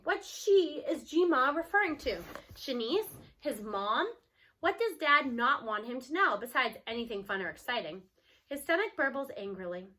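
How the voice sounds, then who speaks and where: A woman narrating a children's story aloud in an expressive read-aloud style. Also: sits high.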